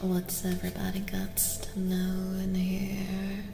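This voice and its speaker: A woman streaming soft-spoken ASMR as a tarot reader, chatting to viewers in an unhurried murmur that often slips into whispers. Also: medium pitch, completely flat delivery, gravelly, over music. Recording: roomy reverberant echo, faint noise.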